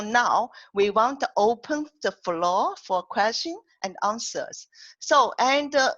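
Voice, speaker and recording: A woman's voice: medium pitch, a bit bright, dull audio. A woman hosting an online poetry event over a video call, talking in a hesitant way with many restarts and fillers. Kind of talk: casual online discussion.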